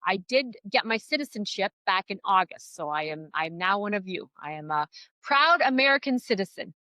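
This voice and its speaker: A middle-aged woman from Canada with a Canadian accent, an upbeat real estate agent chatting as a podcast guest, often pausing on "uh". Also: mid-range voice, animated, pushed and bright, dry recording, rough recording. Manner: upbeat, chatty, frequent "uh" fillers. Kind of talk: casual podcast interview.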